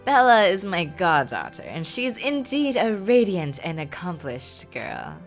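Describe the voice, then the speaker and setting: A woman voicing a kindly, lively, meddling older aristocratic godmother from a game, with grand, genteel phrasing. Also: lowish voice, unhurried, faint music behind. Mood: proud. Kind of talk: reading game dialogue aloud.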